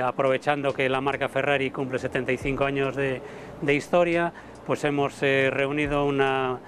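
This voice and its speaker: A man in his fifties, a motor show director, speaking to camera in a halting way with many fillers and repeated words, recorded outdoors on a lapel mic. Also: mid-range voice, slight background noise. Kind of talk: interview to camera. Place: outdoor terrace above a city port.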